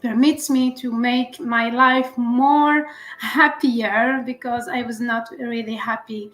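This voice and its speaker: A woman's voice: on the high side, fairly even intonation, slow pace, a bit bright, soft background music. A woman from Algeria with an Algerian French accent, Kabyle Berber her first language, introducing herself hesitantly with many fillers. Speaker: guest. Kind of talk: casual self-introduction. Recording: echoey room.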